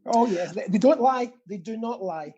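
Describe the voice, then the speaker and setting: A man's voice: high-pitched, expressive, moderate pace, muffled recording, crowd noise. A middle-aged British man co-hosting a live quiz, with casual banter and a theatrical habit of repeating phrases. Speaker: quiz co-host and pantomime dame performer.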